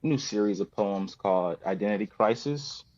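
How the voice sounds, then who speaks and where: A man's voice: fairly low pitch, moderate pace, darkish tone. An American man of thirty from the West Coast, a poet speaking as a podcast guest. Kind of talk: poetry reading and casual chat. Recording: dull lo-fi roomy sound.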